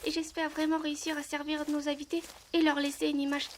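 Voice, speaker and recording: A woman's voice: sits high, flat delivery, fast talker, slight background noise, lightly acted. A woman playing a young, eager, polite maid in a French roleplay audio drama, hesitant and apologetic, with a stammering formal manner. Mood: excited, polite.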